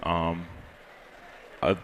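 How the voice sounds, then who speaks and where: A man's voice: very slow, fairly bright tone, a little hiss or hum. A teenage male player giving casual, understated, matter-of-fact answers as an interviewed champion. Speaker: tournament champion being interviewed.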